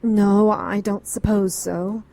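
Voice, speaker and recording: A woman's voice: mid-range voice, little pitch movement, slow, vocal fry, mellow. An actress playing an anxious, educated middle-aged woman client in a noir radio drama, earnest and pleading. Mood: earnest, rambling.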